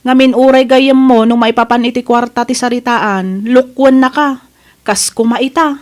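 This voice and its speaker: A woman voices a young female confidante with an Ilocano accent. Her voice sits fairly high, and she speaks in a chatty, emphatic, indignant way suited to radio drama. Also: steady tone, moderate pace, darkish tone, close to an everyday voice. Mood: concerned, indignant.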